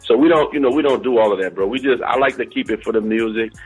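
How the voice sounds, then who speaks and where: A man's voice: medium pitch, fast, breathy edge, faint music behind, poor audio. A middle-aged man, talking informally as an interview guest on a radio call, peppering his talk with "you know". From New Orleans, American South. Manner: informal, uses "you know" and "bro" often. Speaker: interview guest, DJ and company owner.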